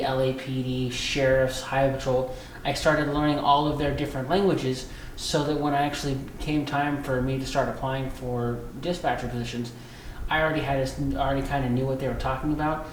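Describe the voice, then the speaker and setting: American male interviewee, mid-pitched, explanatory, halting conversational delivery; roomy sound. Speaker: police dispatcher being interviewed. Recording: echoey room with faint background noise.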